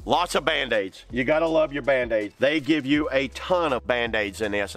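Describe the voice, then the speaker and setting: A man's voice: medium pitch, a bit bright. A middle-aged man giving a casual, rambling product review, full of fillers like "you know" and "kinda". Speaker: outdoor gear reviewer.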